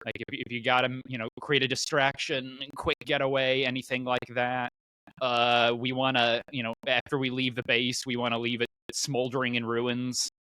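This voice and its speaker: A man co-hosting a podcast in casual chat, explaining things haltingly and repeating his words as he goes. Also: mid-range voice, little pitch movement, sharp tone, clear, resonant tone, no room sound, low-quality recording. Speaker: podcast host.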